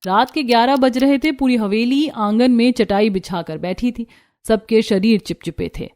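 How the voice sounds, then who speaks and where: A woman's voice: fairly high pitch, normal speed, a little mellow. A woman narrating a scripted Hindi cartoon story as its storyteller.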